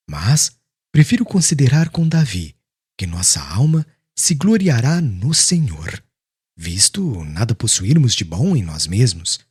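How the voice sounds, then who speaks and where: A devout, unwavering preacher on trial, voiced by a man for an audiobook. He speaks with humble, measured firmness in a very husky voice with expressive pitch, recorded dry. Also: dry recording. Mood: humble, firm. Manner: firm, measured, reverent. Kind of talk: audiobook character acting, formal speech.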